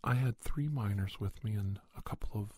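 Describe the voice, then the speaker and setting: A man telling a spooky first-person story in a soft, breathy ASMR style, often near a whisper, in deliberate short phrases with pauses. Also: fairly low pitch, no echo, studio quality. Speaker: podcast storyteller.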